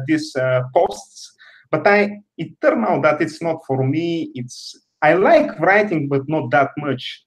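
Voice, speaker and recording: A man from Bulgaria in his thirties, answering interview questions carefully with many pauses and fillers. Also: a little high. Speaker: guest.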